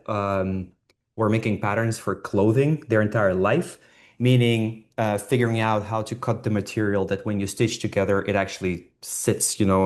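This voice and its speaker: A man, a designer telling his own story informally and candidly in an online talk. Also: lowish voice. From Estonia. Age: 40s. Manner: candid, self-deprecating, swears casually.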